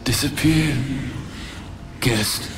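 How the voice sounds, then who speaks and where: An actor voicing a lifeless corpse in a video game, answering in halting, clipped fragments. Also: male voice, medium pitch, very noisy. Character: reanimated corpse answering questions, weary and lifeless. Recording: music behind the voice.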